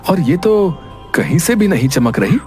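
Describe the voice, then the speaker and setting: A man's voice: on the high side, very animated, measured pace, a little mellow, faint music behind. A man playing a doubtful but gullible market customer, hesitant and questioning.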